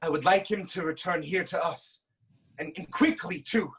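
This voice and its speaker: A performer playing a proud, anguished king haunted by prophecy, in a grave, deliberate dramatic reading of Greek tragedy. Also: medium pitch, a bit bright, breathy edge. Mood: uneasy, urgent.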